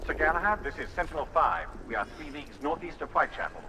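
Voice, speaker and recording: Brisk, bright military radio operator. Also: male voice, a little high, expressive, big-room sound, slight background noise. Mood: formal, urgent. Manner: formal, procedural. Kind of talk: video game acting.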